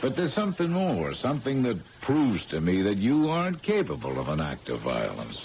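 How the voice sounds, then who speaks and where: Deep-voiced, wry veteran police sergeant. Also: male voice, wildly swooping pitch. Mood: amused, dismissive. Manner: patronizing, folksy, drawn-out emphasis. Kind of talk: old-time radio drama acting. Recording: tinny, noisy old radio broadcast.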